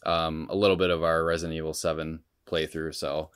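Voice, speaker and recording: A man's voice: extremely low pitch, little pitch movement, brisk, clear, resonant tone. A man co-hosting a podcast in casual chat, with informal, laid-back phrasing. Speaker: podcast co-host.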